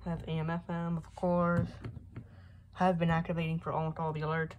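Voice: mid-range voice, completely flat delivery, normal speed. A hobbyist, heard as a woman, giving a casual, rambling, unscripted show-and-tell of a collection into a handheld camera. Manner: rambling, unscripted, often unsure. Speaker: hobbyist collector showing weather radios. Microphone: handheld phone or camera. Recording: loud background noise, handheld camera audio.

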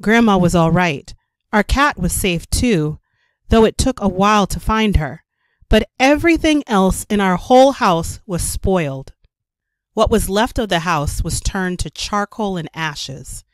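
A woman reading a children's story aloud as a storyteller, with careful, expressive narration for young children. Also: mid-range voice, measured pace, no room sound. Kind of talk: scripted read-aloud narration.

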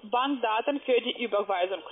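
A man working as a scam caller on the phone, halting and insistent, with broken grammar. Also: extremely high pitch. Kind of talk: phone conversation. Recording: tinny phone line.

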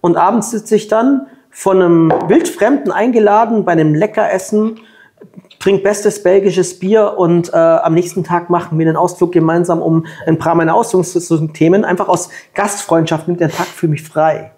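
A man from Germany in his forties, telling lively travel anecdotes as the guest at a table interview, on a lapel mic. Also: high voice, normal speed. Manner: lively anecdotal storytelling, colloquial. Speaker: guest, long-distance scooter traveller. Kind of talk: storytelling in a sit-down interview. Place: room with plants at a table.

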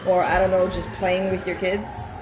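A woman's voice: fairly low pitch, little pitch movement, moderate pace. A woman chatting casually and bantering in an opinionated way, as a parent co-hosting a home cooking stream. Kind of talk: casual chat. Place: home kitchen. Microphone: distant camera mic over the counter. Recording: echoey kitchen with music behind.

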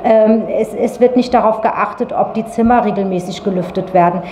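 Middle-aged female interviewee, serious statement, average pitch, echoey tiled room with faint noise. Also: moderate pace, dark tone.

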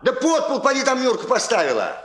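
A man's voice: very high voice, wildly swooping pitch, brisk, background noise, exaggerated voice. An actor playing a bustling, folksy older father in a 1960s radio play, chatty and hesitant, repeating filler words. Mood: hearty, fussing. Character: bustling, folksy old father preparing his daughter's wedding. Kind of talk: naturalistic 1960s Soviet radio play acting.

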